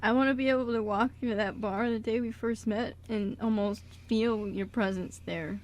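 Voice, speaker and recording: Woman as heartbroken young man, radio play, tender, halting, hushed, higher-pitched voice. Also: fairly even intonation, lightly acted. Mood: wistful, tender. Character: grieving young man saying farewell to ghostly friend. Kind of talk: amateur radio drama acting.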